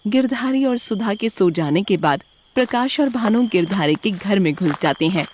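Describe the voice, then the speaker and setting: A woman's voice: medium pitch, animated, normal speed, a little mellow, a little hiss or hum. A woman reading scripted story narration as a storyteller.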